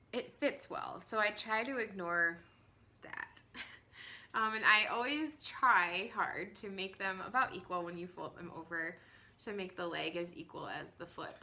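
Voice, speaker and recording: A woman in her thirties hosting a knitting podcast from home, chatting casually with many ums and small laughs, her voice hoarse from a cold. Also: mid-range voice, bright, forceful tone. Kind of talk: casual chat to camera. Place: at home, indoors.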